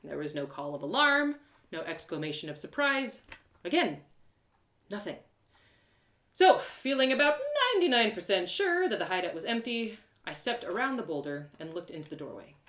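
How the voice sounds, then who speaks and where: A woman narrating a first-person story in an animated, theatrical way, with dramatic pauses. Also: mid-range voice, roomy sound. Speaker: storyteller performing an audio drama live.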